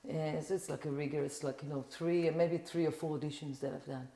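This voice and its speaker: Low-voiced Israeli woman, rambling. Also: steady tone, a little fast, warm tone, reverberant. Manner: rambling, with many "like" and "you know" fillers. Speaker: podcast guest. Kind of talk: casual interview chat.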